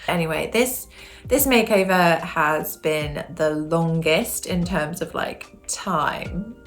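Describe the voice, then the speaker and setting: A young British woman with a croaky throat, chatting casually to camera as a home decor vlogger, rambling and correcting herself as she goes. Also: deep voice, slow, faint music behind.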